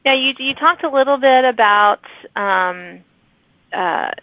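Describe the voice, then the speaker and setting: A woman's voice: high voice, slow pace, vocal fry, phone-quality sound, slight background noise. A middle-aged woman hosting a casual conversational interview, effusive and affirming in how she talks. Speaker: podcast host interviewing an author.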